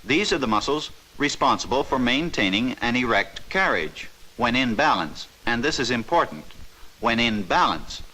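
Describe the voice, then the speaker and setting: A man giving a formal, measured, scripted narration in the style of a 1950s instructional film. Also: mid-range voice, big pitch swings, pushed and bright, low-quality recording.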